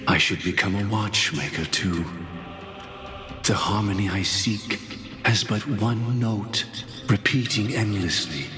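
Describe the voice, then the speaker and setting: A man's voice: lowish voice, slow pace, music in the background. An actor voicing a grave, commanding male elder in a video game, solemn and philosophical, with echoing reverb. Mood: solemn, resigned. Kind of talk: dramatic video game voice acting. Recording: echoey hall-like reverb.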